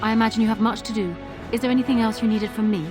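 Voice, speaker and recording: A woman acts a shrewd, regal fantasy game queen. Her fairly high voice is poised, cool and dryly formal, with soft music behind. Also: fairly even intonation, rapid-fire, natural voice. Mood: businesslike. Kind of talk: measured fantasy game acting.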